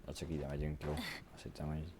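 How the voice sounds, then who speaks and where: Very deep-voiced casual male streamer. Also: little pitch movement, fast talker, noisy background. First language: Hungarian. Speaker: game streamer. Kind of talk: casual livestream reactions.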